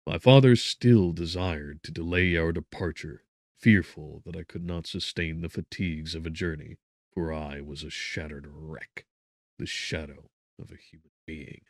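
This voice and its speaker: A man performing an anguished, guilt-ridden gentleman narrator in a gothic audiobook, theatrical, moving between hushed and forceful delivery with drawn-out words. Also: very deep voice, steady tone, no room sound, studio quality. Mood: grim, tormented.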